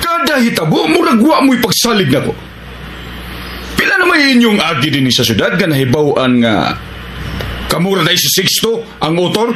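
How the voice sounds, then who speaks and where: A man's voice: high-pitched, sing-song, moderate pace, roomy sound, crowd noise. A man acting a hot-tempered, resentful criminal in a Filipino radio action drama, speaking Cebuano-accented lines with taunting indignation.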